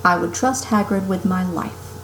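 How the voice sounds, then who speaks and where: A woman voicing a wise old male wizard headmaster in a storybook, calm, firm and measured. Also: fairly low pitch, echoey room, slight background noise, lightly acted, poor audio. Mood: assured. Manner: measured, grave, assured.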